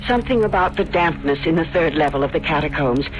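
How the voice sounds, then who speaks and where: An actress playing a gracious, courteous young wife in a radio drama, with a poised manner. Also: male voice, fairly high pitch, lively intonation, fast, close to an everyday voice. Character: gracious, polite young wife of a wine grower. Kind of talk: 1970s radio drama acting. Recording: dull vintage radio recording.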